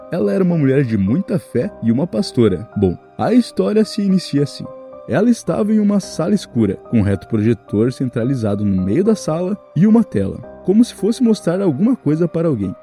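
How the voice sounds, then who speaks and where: A Brazilian man narrates horror with a very dark, mellow voice. His pitch moves in lively swings as he builds suspense and addresses the listener. Music plays behind him. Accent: Brazilian Portuguese. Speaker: storytelling narrator. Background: background music.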